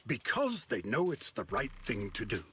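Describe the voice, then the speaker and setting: A man's voice: medium pitch, wildly swooping pitch, normal speed, fairly bright tone. An actor playing a stern, zealous older man, a martial sect elder in a video game, scolding and grandiose. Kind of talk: dramatic video game voice acting. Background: music.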